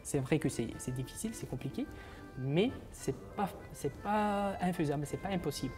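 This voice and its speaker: A man's voice: on the high side, animated, normal speed, darkish tone, faint music behind. A young man from Uzbekistan with an Uzbek accent, a guest on a TV morning show, answering in French as a second language with many hesitations and restarts. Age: 20s. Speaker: guest, master's student.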